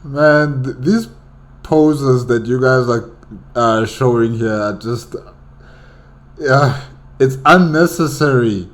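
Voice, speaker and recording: A man's voice: medium pitch, slow, pauses often. A man giving spontaneous, casual reaction commentary as a host, speaking in emphatic, repeated short phrases.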